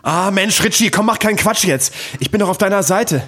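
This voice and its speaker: A man playing a whiny, easily frightened car dealer in a comic radio play, with a pleading manner and a husky voice. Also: high voice, animated, a little fast, fairly bright tone. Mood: panicked. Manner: whining, pleading.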